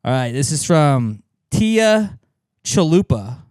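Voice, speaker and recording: A man's voice: fairly high pitch, very animated, slow, a little mellow, dry recording, clean studio sound. A man co-hosting a podcast, talking in casual comedic banter with slangy, sweary phrasing. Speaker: podcast co-host.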